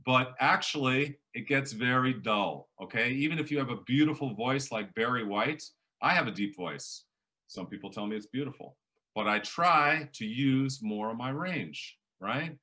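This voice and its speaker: Middle-aged man, big booming teacher voice, forceful, animated lecture delivery. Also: moderate pace. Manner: animated, varies volume and pace for emphasis. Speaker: professor leading a public speaking workshop. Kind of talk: lively workshop lecture.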